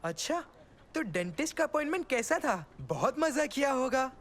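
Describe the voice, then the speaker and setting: High-voiced, impatient cartoon boy. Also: very animated, moderate pace. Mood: accusing. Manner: pleading, dramatic, accusing. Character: impatient, excitable, whiny young boy. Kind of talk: animated comedy series dub.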